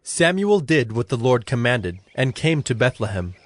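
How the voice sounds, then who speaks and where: A man narrating a scripted Bible story in a formal, measured storytelling manner. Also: mid-range voice, big pitch swings. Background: music.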